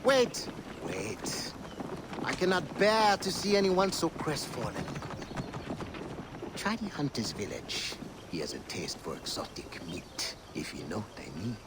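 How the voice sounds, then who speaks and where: A flamboyant teasing flirt. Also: male voice, medium pitch, sing-song, unhurried, noisy background. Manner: sing-song, teasing, whispery asides. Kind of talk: video game cutscene.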